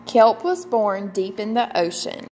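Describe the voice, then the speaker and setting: Slow-paced woman reading a children's storybook. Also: mid-range voice, big pitch swings, darkish tone. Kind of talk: read-aloud picture book narration.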